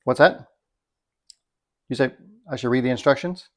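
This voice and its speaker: A man giving a casual, unscripted product demonstration in a folksy, wry way, thinking out loud as he goes, with a slightly gravelly voice. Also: mid-range voice, normal speed, slight background noise. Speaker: product reviewer.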